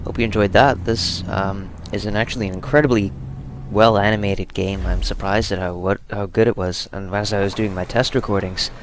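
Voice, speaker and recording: A man's voice: lowish voice, vocal fry, noisy background. A man hosting a gaming video with casual, rambling, self-deprecating commentary. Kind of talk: casual Let's Play commentary.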